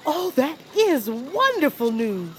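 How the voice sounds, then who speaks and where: A woman's voice: sits high, sing-song, takes it slowly, slight background noise, heavily acted. A woman voicing a gossipy, gushing female creature in a theatrical manner for audio fiction.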